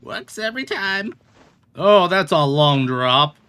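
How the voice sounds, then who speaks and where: A man's voice: high-pitched, animated, slow, pushed and bright, clean tone. A man giving casual, playful commentary as he streams a video game, often exclaiming and talking to the characters.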